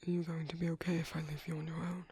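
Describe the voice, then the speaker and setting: A man with a British accent playing a gentle, protective vampire priest in an ASMR roleplay, speaking softly and hesitantly with courteous, formal phrasing. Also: fairly high pitch, completely flat delivery, very fast, no room sound. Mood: bashful, caring. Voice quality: soft, breathy, husky, often near-whispered. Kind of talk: ASMR roleplay, intimate soft-spoken monologue.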